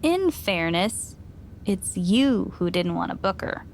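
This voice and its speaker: A woman's voice: lowish voice, sing-song, slow, vocal fry, a little hiss or hum, exaggerated voice. A woman voicing a wry female friend in a game, with teasing, dry sarcasm. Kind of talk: game cutscene dialogue.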